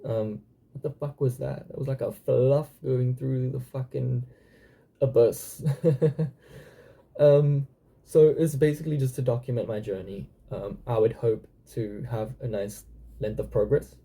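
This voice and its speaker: A young South African man in his twenties, speaking in a dark, gravelly voice with a husky edge. He talks to camera haltingly, full of ums and restarts, with the odd curse thrown in. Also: medium pitch, steady tone. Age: 20s. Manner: hesitant, many fillers and restarts, occasional swearing. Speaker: channel host. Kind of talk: casual personal vlog.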